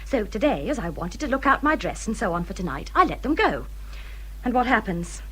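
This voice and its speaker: A woman's voice: mid-range voice, big pitch swings, brisk, fairly bright tone, slightly rough, rough recording. An actress playing a self-pitying young married woman in a period radio drama, with a genteel English accent and a fretful, aggrieved manner. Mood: indignant fretting.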